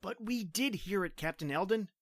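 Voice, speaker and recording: Male actor as a young trooper; earnest, insistent, high-pitched, dry close mic, radio drama. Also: animated, normal speed, fairly bright tone, no room sound. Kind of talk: old-time radio drama reading.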